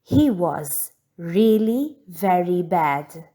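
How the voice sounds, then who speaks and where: Woman storybook reader, very unhurried pace, lively pitch movement, deliberate pauses for young listeners. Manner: measured phrasing with deliberate pauses. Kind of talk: children's read-aloud narration.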